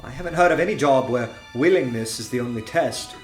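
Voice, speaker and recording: A man playing an earnest detective who narrates his undercover adventure in a measured, literary radio play style. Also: medium pitch, soft background music, natural voice. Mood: earnest, questioning. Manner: measured, literary, dramatic storytelling. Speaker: narrator and lead character.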